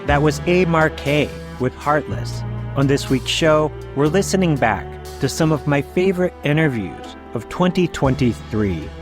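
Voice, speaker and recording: A man's voice: fairly high pitch, animated, music in the background. Man hosting a radio interview show. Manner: measured, introduces and asks questions. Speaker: radio host.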